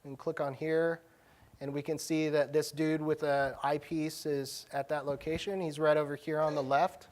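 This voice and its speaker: A man's voice: a little high, level tone, normal speed, darkish tone. A man presenting a live tech demo at a conference session, speaking in an informal, slangy, casual way. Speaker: conference presenter, technology director.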